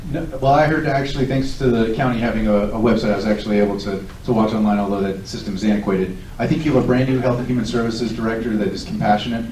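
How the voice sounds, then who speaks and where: A man in his forties speaks fast and earnestly, with a somewhat low voice. He is picked up from far off by a device in an echoey hall, with faint noise in the background. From Southern California. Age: 40s. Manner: fast, earnest, self-assured. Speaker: political candidate. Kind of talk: candidate forum speech and answers. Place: large echoey hall at a public event. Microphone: recorded from a distance by a tablet.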